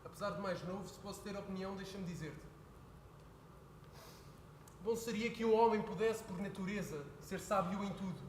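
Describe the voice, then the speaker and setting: A young man playing an earnest son who pleads with his father, building to heated shouting. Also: high-pitched, normal speed, pauses often, a little hiss or hum, natural voice. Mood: pleading. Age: young adult. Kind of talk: stage play performance. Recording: echoey hall with faint ambience.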